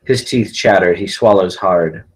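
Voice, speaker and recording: A man's voice: deep voice, unhurried, rough recording. A man narrating the stage directions of a film script at a table read, in scripted present-tense narration.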